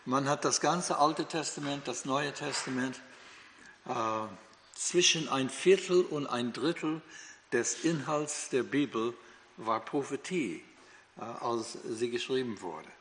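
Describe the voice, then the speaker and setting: A man's voice: mid-range voice, takes it slowly, fairly bright tone. An older man from the United States with an American accent, a native English speaker teaching the Bible in German, hesitant with many fillers and restarts. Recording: long hall reverb.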